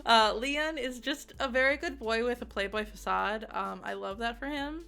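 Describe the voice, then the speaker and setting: A woman's voice: on the high side, moderate pace, vocal fry, sharp tone. A woman giving a casual, unscripted game review in an enthusiastic, giggly, rambling way. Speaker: video game reviewer and streamer.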